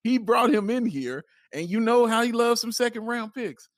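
A man's voice: very high-pitched, animated, moderate pace, no echo, studio quality. A man co-hosting a sports podcast with playful, emphatic, casual banter.

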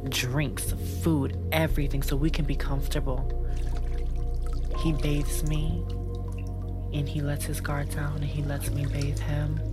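A brash, dreamy young woman, low and slow. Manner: breathy, unfiltered, swearing, drawn-out. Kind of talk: audio drama monologue.

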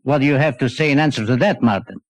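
An actor playing a stern, sceptical minister of police, formal and officious, in 1940s radio drama style. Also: male voice, mid-range voice, quick pace, no echo, muffled recording, lightly acted.

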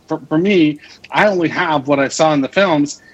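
A man's voice: a little high, normal speed, highs cut off. A man chatting casually as a podcast guest, hesitant and searching for his words. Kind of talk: casual chat.

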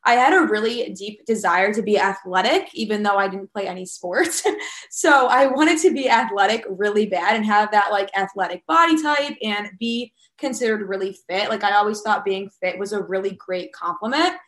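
A woman fitness coach, a guest on a podcast, telling her story candidly and casually, with light laughs and plenty of fillers. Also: medium pitch. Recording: echoey room.

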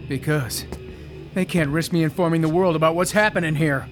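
Man acting a high-pitched, paranoid conspiracy theorist. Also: steady tone, brisk, over music, close to an everyday voice. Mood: defiant, urgent. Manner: insistent, repetitive, intense. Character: agitated, paranoid conspiracy theorist, stubborn and urgent. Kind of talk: audio drama acting.

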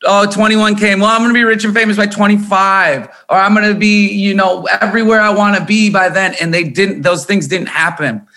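A man's voice: high voice, brisk, hardly any pauses. A man in his thirties from Minnesota, a musician talking candidly and emphatically in an interview, swearing freely. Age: 30s. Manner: animated, emphatic, swears often. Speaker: guest musician.

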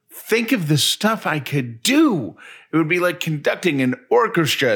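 A man's voice: mid-range voice, a bit bright. A man co-hosting a podcast, giving conversational, folksy and enthusiastic sponsor reads. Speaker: podcast co-host reading sponsor ads. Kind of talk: conversational ad read.

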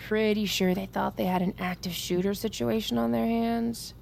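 An actress playing a cocky, irreverent young army recruit in a naturalistic audio drama, sardonic and flippant. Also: female voice, medium pitch, fairly even intonation, normal speed, creaky, darkish tone, slight background noise. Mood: wry, teasing. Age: young adult.

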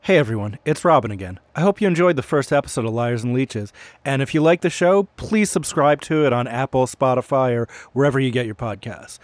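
A man's voice: mid-range voice, lively intonation, brisk, no room sound. A man hosting a podcast, speaking in a friendly, informal way to listeners. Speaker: podcast host. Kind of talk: casual podcast outro.

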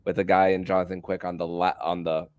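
A man hosting a sports podcast with casual commentary, speaking haltingly and often stumbling over repeated words. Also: deep voice, flat delivery, dry recording.

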